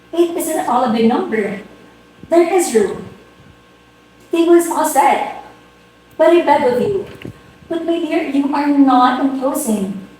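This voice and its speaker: A woman's voice: sits high, darkish tone. A woman playing a flustered, imperious society hostess and mother in an amateur dramatic reading of a comic stage play, with a theatrical, exclamatory manner. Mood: polite pleading. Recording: very echoey room, distant mic, faint noise.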